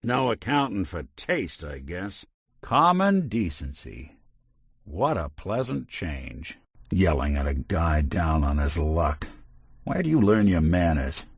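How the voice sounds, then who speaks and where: A hard-boiled American robot detective for a video game, in a lowish voice that moves up and down expressively. He is world-weary but principled, with wry old-time private eye phrasing. The sound is close and dry. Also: no room sound, exaggerated voice. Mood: sardonic. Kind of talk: video game character acting.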